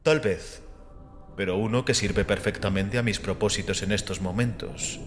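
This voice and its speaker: A man as a calm, dangerous, low-voiced stranger. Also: normal speed, in a large hall, over music, lightly acted. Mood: enigmatic. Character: hooded martial stranger, calm, dangerous, scornful of priests. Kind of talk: audiobook character acting.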